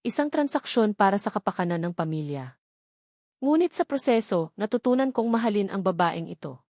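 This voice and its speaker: A woman's voice: mid-range voice, expressive, a little fast, a little mellow, dry recording. A devoted young man stands up to his family as played by a woman narrator. The delivery is solemn and declarative, in the manner of a romance audio drama. The sound is close and dry. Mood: earnest, resolute.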